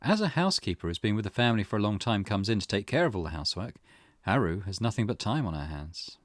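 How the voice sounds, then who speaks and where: A man's voice: fairly low pitch, big pitch swings, quick pace, no room sound, studio quality. A man reading a visual novel aloud for a gaming video, with casual commentary of his own.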